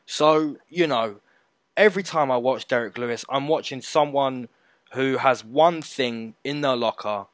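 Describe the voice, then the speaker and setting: Co-host, mid-pitched man, dry close sound, emphatic casual delivery. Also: a little slow, no echo. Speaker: co-host of a fight prediction show. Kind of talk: casual betting breakdown chat.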